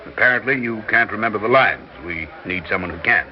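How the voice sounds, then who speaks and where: An actor playing a blunt, impatient advertising executive who speaks in curt orders, in a vintage radio drama. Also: male voice, fairly low pitch, fairly quick, close to an everyday voice. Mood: cold. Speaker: sponsor's agency man. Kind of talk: 1960s radio drama acting. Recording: dull lo-fi vintage radio with faint hiss.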